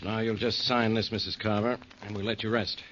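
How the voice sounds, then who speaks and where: An actor playing a gruff, impatient American police inspector in a 1940s radio drama. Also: male voice, fairly low pitch, level tone, brisk, lightly acted. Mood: businesslike. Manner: brusque, official. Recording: muffled, hissy 1940s radio recording.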